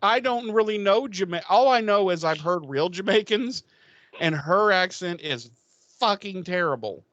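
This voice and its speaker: A man's voice: high-pitched, expressive, normal speed, fairly bright tone, no room sound, dull audio. Blunt man, close dry sound. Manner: blunt, emphatic, foul-mouthed. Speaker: podcast co-host. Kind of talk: casual opinionated commentary.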